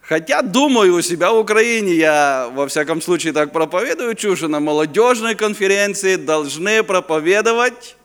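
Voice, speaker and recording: A man in his fifties from Ukraine, a native Ukrainian speaker with a Ukrainian accent, giving a chatty, joking sermon as a guest preacher at a youth conference, sometimes searching for words. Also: a little high. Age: 50s. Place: conference hall. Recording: reverberant hall.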